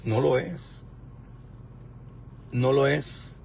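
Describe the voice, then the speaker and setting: Gravelly 50s guy preaching from his car, repeats stuff for punch, bit of traffic noise. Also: mid-range voice, fairly even intonation, very slow, a little mellow. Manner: emphatic, repeats words for stress. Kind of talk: live-streamed preaching. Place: in a car at a pay point.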